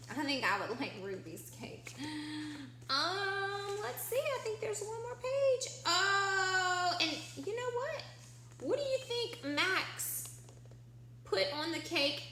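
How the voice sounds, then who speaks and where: A woman reading a picture book aloud to children like a teacher, expressive and encouraging, drawing out key words and putting questions to her listeners. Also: very high-pitched. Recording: echoey room, faint background noise.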